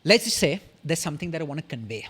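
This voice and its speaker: A young man with an Indian English accent, a podcast guest explaining communication in an articulate, measured way, pausing often between sentences. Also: on the high side, sing-song, a bit bright, breathy edge. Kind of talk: casual explanatory interview talk.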